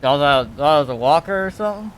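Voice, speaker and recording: A man chatting casually on a game livestream, telling stories in a laid-back, halting way. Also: a little high, animated, slight background noise. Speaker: game streamer. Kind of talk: casual livestream chat, recapping roleplay events.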